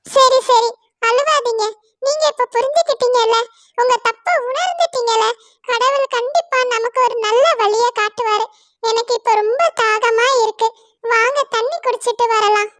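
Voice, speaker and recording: A woman's voice: extremely high pitch, moderate pace, fairly bright tone, dry recording, clean studio sound. A woman voicing Latchu, a worried mother bird and wife, in an anxious, pleading manner. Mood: comforting.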